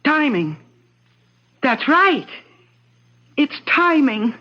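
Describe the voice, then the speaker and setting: An intense, secretive gambling wife, swooping voice. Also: female voice, high-pitched, very animated, very slow, full character voice. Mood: excited. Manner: confessional, intense, theatrical. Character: restless, secretive politician's wife hooked on gambling. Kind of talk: old-time radio drama acting. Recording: dull vintage radio recording, loud music.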